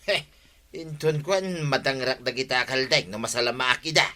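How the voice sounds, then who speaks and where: A man's voice: medium pitch, moderate pace, bright, forceful tone, lightly acted. An actor playing an irritated, blustering village man in an Ilocano radio comedy drama.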